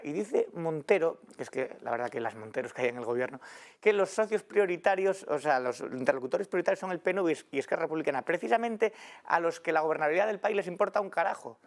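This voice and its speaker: A man from Asturias rattles off indignant political commentary at a rapid clip. His voice has a gravelly, creaky edge, and he presses his points hard in a studio panel debate. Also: fairly high pitch. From Asturias, Spain. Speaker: panel guest.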